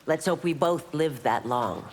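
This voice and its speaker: Stern warrior constable, cavernous reverb. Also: female voice, low-pitched, unhurried, a little hiss or hum. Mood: measured, formal. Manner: formal, firm, authoritative. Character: stern, dutiful female martial-arts warrior constable. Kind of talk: video game character dialogue. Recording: long hall-like reverb.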